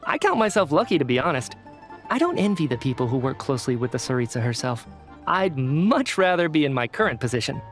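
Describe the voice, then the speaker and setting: A man's voice: mid-range voice, a little fast, faint music behind, close to an everyday voice. A man playing a weary, affable government researcher in a video game, polite and somewhat hesitant. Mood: apologetic, mild. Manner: polite, hesitant, sighing, expressive.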